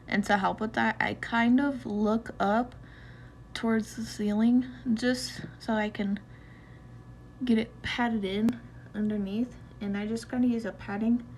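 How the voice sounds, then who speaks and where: A young woman walking through a makeup tutorial in a relaxed, chatty way, with loose fillers as she goes. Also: on the high side, measured pace, a little hiss or hum. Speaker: beauty vlogger. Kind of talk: casual makeup tutorial narration.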